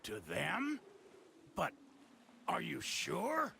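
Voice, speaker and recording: A man's voice: high-pitched, wildly swooping pitch, extremely slow, vocal fry, bright, forceful tone, a little hiss or hum, heavily acted. A man playing a fawning, theatrical alien henchman in an anime fighting game, servile to his master and bombastic. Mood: grudging, bombastic. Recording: music behind the voice.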